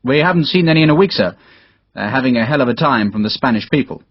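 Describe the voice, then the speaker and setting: A man's voice: medium pitch, lively intonation, fast, breathy edge, no room sound, muffled recording. A man voicing a tired, dutiful English rifleman lieutenant, clipped and deferential, in an audiobook. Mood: surprised, wary. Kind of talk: audiobook character dialogue.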